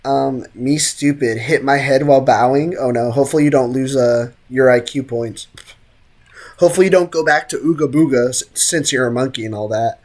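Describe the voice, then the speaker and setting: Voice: medium pitch, fairly quick, darkish tone. A game streamer giving casual livestream commentary in a joking, slangy way.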